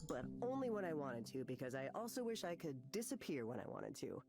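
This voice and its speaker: A woman's voice: sits low, big pitch swings, brisk, gravelly, over loud music, full character voice. An actress playing an anxious, self-deprecating young woman in a video game, rambling nervously with a sardonic edge. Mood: wistful. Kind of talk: visual novel game voice acting.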